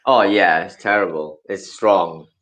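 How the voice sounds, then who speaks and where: A man's voice: low-pitched, unhurried. A man from Wales, a podcast guest in casual, rambling chat. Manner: chatty, rambling, animated impressions.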